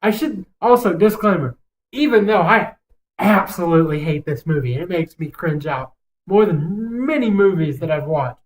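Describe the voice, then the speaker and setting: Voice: medium pitch, expressive, moderate pace, low-quality recording. A young adult podcast co-host giving opinions in casual banter, swearing freely and rambling emphatically.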